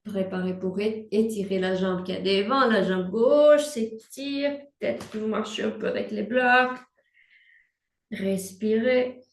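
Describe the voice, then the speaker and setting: Young female yoga instructor, unhurried soothing cueing; distant mic in a roomy space. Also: medium pitch. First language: Portuguese. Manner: slow, calm cueing with breath prompts. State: pregnant. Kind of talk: guided prenatal yoga class. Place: room at home, instructing from a mat. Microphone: laptop mic at a distance.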